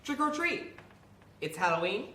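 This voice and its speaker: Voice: mid-range voice, very animated, measured pace. An actor playing a polite, eager, chirpy young trick-or-treater in an old-time horror radio play. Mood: hesitant, cheery. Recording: echoey live stage, loud noise.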